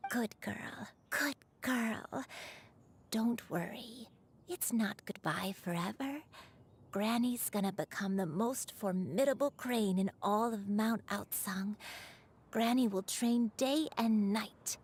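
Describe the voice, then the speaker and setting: A woman's voice: mid-range voice, slow, slightly rough. A woman voicing a loving old grandmother, a crane spirit in human form, in a tender, soothing game performance. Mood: tender, reassuring. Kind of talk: video game voice acting.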